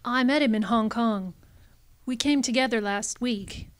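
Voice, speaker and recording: An anxious, secretive femme fatale in an old-time radio detective play, acted by a woman. Her voice is fairly high. She speaks hesitantly and pleads with melodramatic urgency. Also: a little slow, smooth, lightly acted. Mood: evasive.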